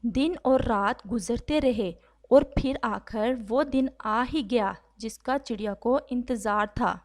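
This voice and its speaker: A woman with a high-pitched voice tells a children's story. She reads scripted narration as the storyteller of a cartoon fable. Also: takes it slowly.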